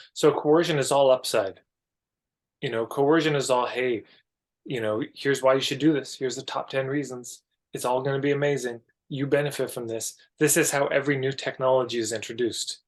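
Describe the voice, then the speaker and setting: A man with a Canadian accent talking as a podcast guest, explaining ideas in an emphatic, conversational way and acting out imagined dialogue to make points. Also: medium pitch. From Alberta, Canada. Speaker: podcast guest, marketing coach.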